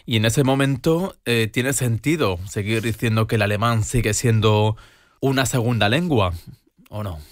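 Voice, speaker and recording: A man hosting a radio talk show, with a smooth presenter delivery that moves into brief replies while he listens. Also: mid-range voice, normal speed, no echo. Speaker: radio host.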